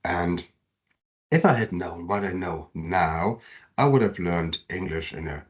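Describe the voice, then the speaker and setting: A man from Germany with a German accent, explaining in earnest how to learn his native language. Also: measured pace. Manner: earnest, explanatory, with lively pitch swings. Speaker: language teacher. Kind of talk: language lesson to camera. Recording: dull lo-fi sound.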